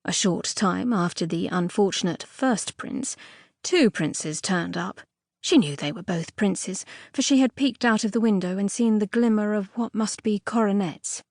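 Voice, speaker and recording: A woman reading a storybook fairy tale as a scripted audiobook narration, expressively and a little primly, with dramatic emphasis and pauses. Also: mid-range voice, no room sound. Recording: dry close studio audiobook recording.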